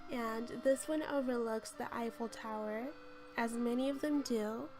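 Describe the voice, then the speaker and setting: A woman with a cold and a hoarse voice, giving a chatty, gushing voice-over tour of a game build with frequent ums. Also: on the high side, measured pace, darkish tone. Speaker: game channel host. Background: light background music.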